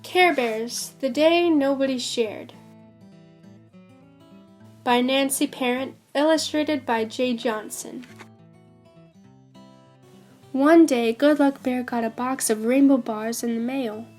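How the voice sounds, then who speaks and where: A woman's voice: high-pitched, slow, pauses often, a little mellow, faint music behind. A woman reading a children's picture book aloud as scripted narration. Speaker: volunteer storybook reader.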